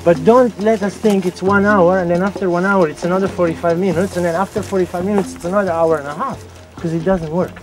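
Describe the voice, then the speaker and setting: A man's voice: high voice, steady tone, fast talker, few pauses. A man on an expedition crew, talking firmly and emphatically in a documentary. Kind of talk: documentary account and on-location talk. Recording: distant mic under music.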